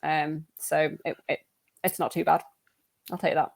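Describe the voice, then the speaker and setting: Woman guest talking fantasy football, lowish voice, dry sound, laid back with lots of ums. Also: a little slow, creaky, no room sound. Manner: relaxed, self-deprecating, many ums. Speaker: guest analyst. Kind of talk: casual chat.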